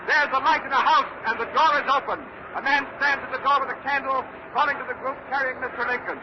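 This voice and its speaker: Old crackly radio, frantic male street reporter shouting high over a roaring mob. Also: steady tone, fast, lo-fi sound. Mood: urgent, alarmed. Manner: urgent, shouting over the noise. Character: excited male on-scene radio reporter amid panicked mob. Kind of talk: 1940s radio drama live news commentary. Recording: noisy old 1940s radio recording, loud crowd.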